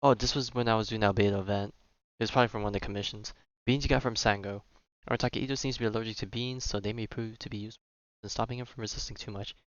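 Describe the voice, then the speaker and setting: A man's voice: on the low side, fairly even intonation, very fast, hardly any pauses, fairly bright tone, no room sound. Slangy young man with slightly muffled sound. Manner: slangy, reactive. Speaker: game streamer. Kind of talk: casual playthrough commentary.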